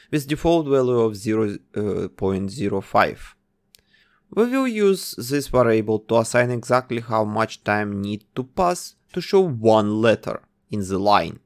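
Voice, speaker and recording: A man narrating a coding tutorial, calmly giving instructions one step at a time. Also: mid-range voice. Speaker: game development tutor.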